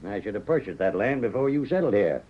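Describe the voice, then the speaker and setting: An actor playing a firm, middle-aged American ranch owner reasoning in a measured, articulate way in a 1940s radio Western. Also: male voice, fairly low pitch, lively intonation. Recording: muffled vintage 1940s radio recording.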